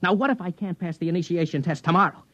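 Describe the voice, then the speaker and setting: An actor playing a nervous, exasperated space explorer in a 1950s radio drama, with wry narration and dialogue. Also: male voice, sits high, fast talker, fairly bright tone. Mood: pleading. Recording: dull vintage radio recording.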